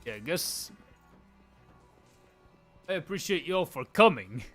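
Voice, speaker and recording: A man's voice: on the high side, big pitch swings, slow, fairly bright tone, soft background music. A man in his late twenties hosting a casual game livestream, chatting to viewers in slangy, informal talk. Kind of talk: casual gameplay chat with viewers.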